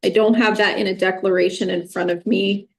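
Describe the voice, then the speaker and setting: A woman sits as judge and delivers her ruling in a measured, firm and formal way. Her voice is mid-pitched and even, the plain tone of a courtroom official.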